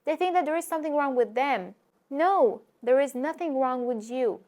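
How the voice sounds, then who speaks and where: Lively woman giving language tips. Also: sits high, normal speed. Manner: lively, direct, talks to viewers, frequent fillers. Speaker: language teacher and YouTuber. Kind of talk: casual vlog-style lesson.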